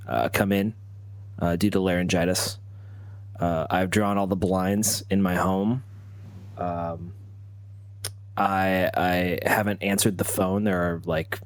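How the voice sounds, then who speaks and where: A man improvising a first-person story as a role-player, halting and often repeating his words. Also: sits low, no room sound.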